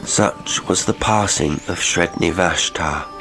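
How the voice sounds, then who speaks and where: Deep-voiced man narrating a horror story over music. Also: bright, forceful tone, rough recording. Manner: measured, literary, theatrical delivery. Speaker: audiobook narrator. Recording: music behind the voice.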